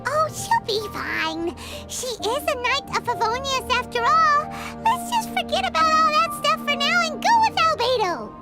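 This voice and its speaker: A woman voicing a tiny, excitable fairy-like girl companion in a video game, with a squeaky childlike voice and an eager manner. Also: unhurried, hardly any pauses, full character voice. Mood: eager, upbeat. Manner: refers to self in third person, eager. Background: background music.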